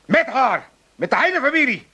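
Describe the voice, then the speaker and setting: A man's voice: very high-pitched, sing-song, moderate pace, bright, forceful tone, slightly rough, full character voice. An actor playing a cantankerous, mocking old Amsterdam father in a comic radio play, grumbling theatrically. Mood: sulky, bustling. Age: older.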